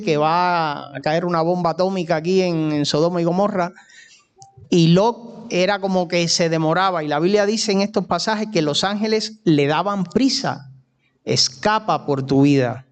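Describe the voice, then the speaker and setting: A male preacher from Cuba with a Cuban accent, giving a sermon at an easy, slow pace. His voice sits a little high, and he talks in a conversational way, stopping to put questions to his listeners. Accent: Cuban Spanish. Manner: unhurried, conversational preaching with audience asides.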